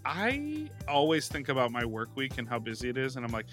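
A man co-hosts a podcast with a bright, forward voice and very lively pitch. His delivery is casual and animated, with some music behind him. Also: brisk. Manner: jokey, animated. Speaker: podcast co-host. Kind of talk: casual comedic banter and host-read ads.

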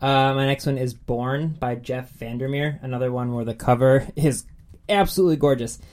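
A man's voice: medium pitch, moderate pace, a little mellow. A man co-hosting a podcast in a casual, enthusiastic chat. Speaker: podcast co-host.

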